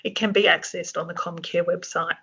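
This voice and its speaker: A presenter with a croaky, flu-worn voice, reading steadily from a prepared script. The tone is bright and forceful. The audio is dull and lo-fi, as is typical of a webinar. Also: mid-range voice, steady tone, brisk. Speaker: webinar presenter for a safety regulator.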